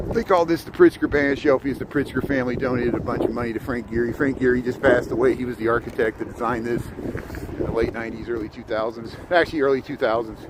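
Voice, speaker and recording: A man from southern Indiana livestreaming as he walks, chatting in a rambling way and answering viewer comments. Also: mid-range voice. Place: outdoors on cold city streets. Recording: faint street noise outdoors. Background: city traffic and street ambience.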